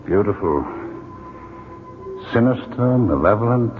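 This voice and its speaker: An actor playing a commanding but earnest husband and expedition leader in a 1970s radio drama, theatrical in delivery. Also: male voice, lowish voice, animated, unhurried, over music. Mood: awed, commanding. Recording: tinny band-limited radio recording.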